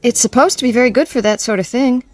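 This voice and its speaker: An actress playing a prim, eager-to-help single lady in a vintage radio drama, polite and gently insistent. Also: on the high side, fast, natural voice.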